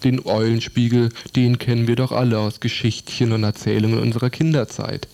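A man's voice: medium pitch, flat delivery, fairly quick, creaky, warm tone. A man reading a scripted book review for radio.

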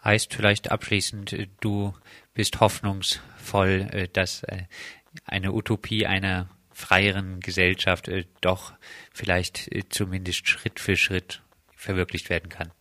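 Bright-voiced, very even male interviewer. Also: sits low, measured pace, dry recording. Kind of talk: measured interview questions.

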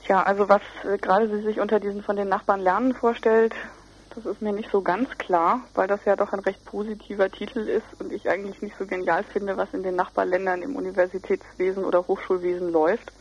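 A woman's voice: medium pitch, level tone, fairly quick, a little hiss or hum. A young woman explaining things as an interviewed student representative, hesitant and searching for words. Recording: muffled lo-fi radio recording.

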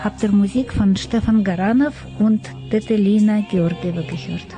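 A woman's voice: medium pitch, moderate pace, dark tone, faint music behind. A woman presenting a radio programme as its host.

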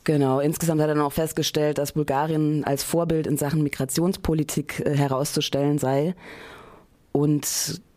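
Casting: radio presenter of unclear sex, dark relaxed tone, loosely delivering a report with colloquial, hesitant phrasing. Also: mid-range voice, moderate pace. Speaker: radio show host. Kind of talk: informal radio report, partly scripted.

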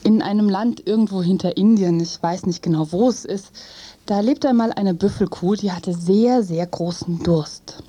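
A woman telling a fairy tale to children in an expressive, dramatic way. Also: medium pitch, normal speed. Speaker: storyteller.

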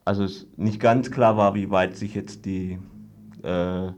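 A man giving an unscripted radio report, explaining things haltingly in long-winded sentences. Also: low-pitched, steady tone, darkish tone. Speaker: guest reporting on a court trial.

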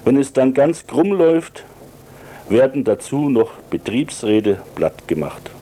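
A man's voice: mid-range voice, sing-song, measured pace, mellow. A man talking in an explanatory way about serious matters, recorded with some background noise. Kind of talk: explanatory political talk.

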